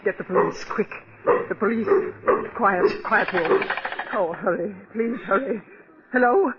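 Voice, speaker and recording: A woman's voice: mid-range voice, measured pace, close to an everyday voice. An actress playing a terrified, hysterical woman pleading for her life in an old radio melodrama. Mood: panicked, pleading. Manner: breathless, pleading, screaming. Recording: muffled, very noisy old radio recording.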